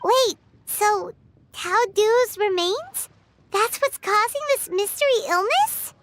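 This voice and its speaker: A woman voicing a small, excitable, childlike fairy companion in a video game, squeaky and very expressive. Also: takes it slowly, slight background noise, exaggerated voice. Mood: puzzled. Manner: expressive, speaks of self in third person. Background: background music.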